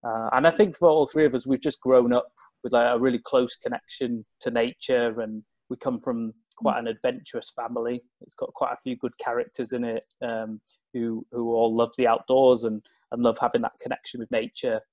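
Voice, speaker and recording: A man's voice: medium pitch, little pitch movement, normal speed, darkish tone, dry recording. A young man from Cumbria in England, chatting as a guest in an interview, hesitant and full of fillers and restarts. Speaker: guest, open-water swimmer. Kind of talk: casual interview chat.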